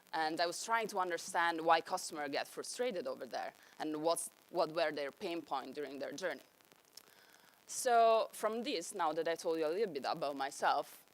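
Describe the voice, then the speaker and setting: A young woman with an Italian accent giving an enthusiastic conference talk on stage. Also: low voice. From Italy. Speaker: conference speaker.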